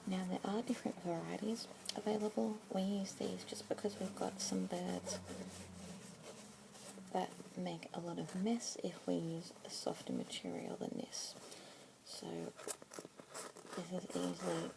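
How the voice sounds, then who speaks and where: Whispering Australian woman, gravelly fry. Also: lowish voice, expressive. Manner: soft whispering, gentle and unhurried. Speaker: ASMR roleplayer as pet adoption consultant. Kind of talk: whispered ASMR roleplay. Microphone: close sensitive ASMR mic. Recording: noisy hiss behind close whisper.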